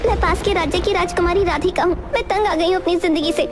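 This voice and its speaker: A woman voicing a distressed, pleading young princess in an animated fairy tale. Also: very high-pitched, fast talker, over loud music. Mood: desperate. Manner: pleading, dramatic.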